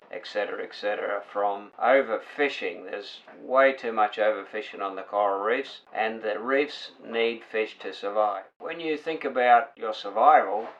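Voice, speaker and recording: An older man, an underwater photographer, speaking in a measured, earnest appeal about protecting coral reefs. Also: lowish voice, a bit bright. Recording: dull lo-fi sound.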